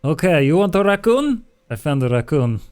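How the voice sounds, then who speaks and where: Guy doing a weird blunt scavenger vampire in a tabletop rpg, deadpan and offhand, smooth voice. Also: on the high side, normal speed, pitched above the performer's own voice. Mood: offhand, curious. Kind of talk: improvised tabletop roleplay.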